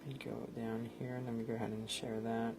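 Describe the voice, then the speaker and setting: A man's voice: mid-range voice, little pitch movement, fairly quick, gravelly, over music, poor audio. A man with a Colombian accent, a Spanish native speaker, chatting on a live stream while he edits photos, rambling with lots of fillers. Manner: rambling and friendly, many fillers, reads chat aloud. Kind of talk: casual live-stream chat while editing.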